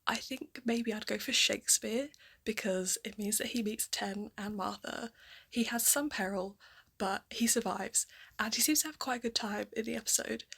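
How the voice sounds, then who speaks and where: A woman chatting casually as a cast member of a fan audio drama, with a husky, creaky voice. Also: a little high, normal speed, a bit bright. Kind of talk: casual chat to camera.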